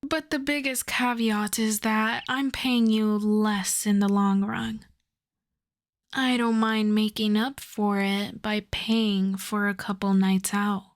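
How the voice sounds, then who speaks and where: Woman playing a slow-talking, flirtatious female villain. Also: medium pitch, level tone. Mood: earnest, flirtatious. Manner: unhurried, smooth-talking, teasing, self-assured. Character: suave flirtatious female supervillain courting her costume maker. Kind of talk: roleplay audio drama monologue.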